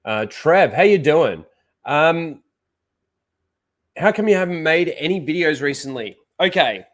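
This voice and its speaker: Brief: young guy, streamer, stuffy nose, rambling chat, a touch higher than most men. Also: lively intonation, unhurried. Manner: rambling, filler-heavy, reads out chat names. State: blocked nose. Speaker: live stream host. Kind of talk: casual rambling livestream chat.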